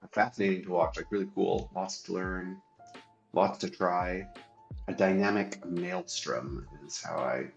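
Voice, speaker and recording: A man's voice: low-pitched, reverberant, poor audio. A man hosting a solo game-strategy show, talking casually and stuffy from a cold, with many ums and restarts. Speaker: show host and competitive player.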